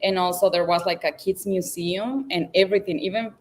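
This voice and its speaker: A woman from Venezuela, talking as a guest on a video call, halting with fillers and restarts. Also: fairly low pitch, fairly even intonation, slight background noise. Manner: halting, with many fillers and restarts, laughs often. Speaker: guest engineer. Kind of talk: casual interview chat.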